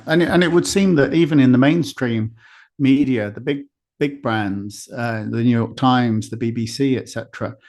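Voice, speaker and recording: A man's voice: mid-range voice, a little mellow. Man hosting a conversational interview. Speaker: podcast host.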